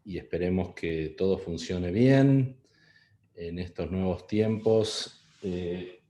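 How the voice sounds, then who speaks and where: A man's voice: low-pitched, slow, dark tone, echoey room. A middle-aged man with an Argentine Spanish accent giving an online webinar talk, hesitant, with many fillers and restarts. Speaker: webinar speaker. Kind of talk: online presentation.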